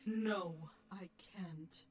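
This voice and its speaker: A woman's voice: mid-range voice, extremely slow, faint music behind. A woman playing an imperious, scheming, short-tempered pony queen in a theatrical, commanding cartoon style. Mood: scheming, menacing. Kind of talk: animated fan audio drama acting.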